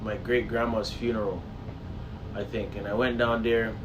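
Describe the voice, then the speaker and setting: A man from Jamaica hosting a casual discussion, talking in a relaxed, swearing way that turns loud and animated. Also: lowish voice, moderate pace, recorded from a distance, lo-fi sound, background noise. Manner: animated, swearing, gets loud when worked up.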